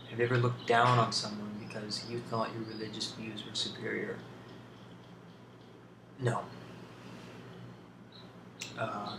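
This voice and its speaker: A man speaking as an ASMR video host, answering questions off the cuff in a hesitant, thoughtful way with long pauses. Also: on the low side. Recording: roomy, distant, clearly noisy home recording.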